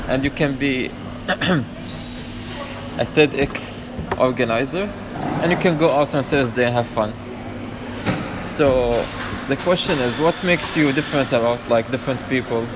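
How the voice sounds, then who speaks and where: A man's voice: mid-range voice, a little slow, background noise. A man hosting a podcast in casual chat, joking and teasing his guest. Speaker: podcast host.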